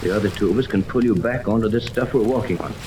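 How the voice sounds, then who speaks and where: An actor playing a commanding, level-headed yacht captain in a 1930s radio serial, speaking with deliberate authority. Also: male voice, lowish voice, lightly acted. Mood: firm, serious. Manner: authoritative, deliberate, gives orders. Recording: noisy 1930s radio, rain ambience over it.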